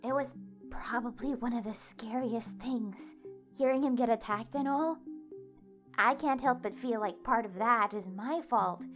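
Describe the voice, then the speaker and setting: Casting: actress as earnest young woman for audio drama, higher voice, nervous and hesitant, music underneath. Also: a bit bright. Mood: worried, guilty. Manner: halting, flustered, hesitant. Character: anxious young woman, tired, earnest gamer.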